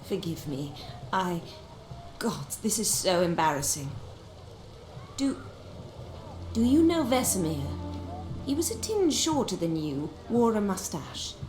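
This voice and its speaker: An actress playing a genteel, wistful old widowed countess in a video game, speaking with refined formality and theatrical hesitations. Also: female voice, lowish voice, sing-song, slow pace, pauses often. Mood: embarrassed, hesitant. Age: older. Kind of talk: video game dialogue acting. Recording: roomy echo, music underneath.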